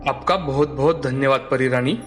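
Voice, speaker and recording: A man playing a calm, brave young fairy-tale hero in children's story dialogue, speaking earnestly. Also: mid-range voice, moderate pace, lightly acted. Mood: respectful, pleading. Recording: long hall reverb, music underneath.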